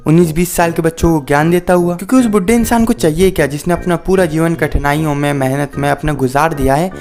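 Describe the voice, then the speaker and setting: A man's voice: a little high, fast, a little mellow, faint music behind. A man in his early twenties with an Indian accent, hosting a sarcastic, mock-earnest comedy monologue and switching into English for emphasis. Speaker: video host.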